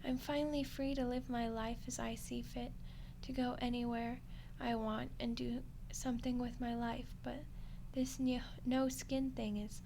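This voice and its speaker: A woman's voice: a little high, flat delivery, a little slow, few pauses, warm tone, low-quality recording. A woman voicing a friendly, lonely undead skeleton girl in an ASMR roleplay, chatting casually and awkwardly to the listener. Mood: frustrated. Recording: clear background noise and ambience.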